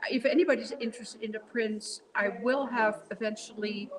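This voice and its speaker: Dutch-accented woman, halting speech. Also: a little high, steady tone, crowd noise, rough recording. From Netherlands. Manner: halting, with many fillers and restarts. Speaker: guest quilter and artist. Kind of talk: casual interview chat.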